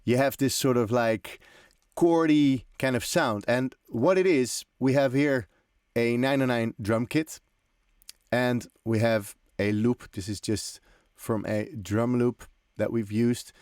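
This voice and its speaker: A man's voice: mid-range voice, fairly even intonation, slow, a little mellow, smooth, dry recording, clean studio sound. A man hosting a music production tutorial, explaining informally as he demonstrates, in loose, conversational and often hesitant phrasing. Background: electronic drum and synth loops.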